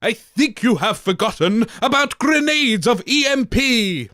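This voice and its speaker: A man's voice: extremely high pitch, expressive, measured pace, sharp tone, no echo, clean studio sound, pitched above the performer's own voice, exaggerated voice. A man voicing a mad, gleefully menacing robot in rhyming verse. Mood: manic.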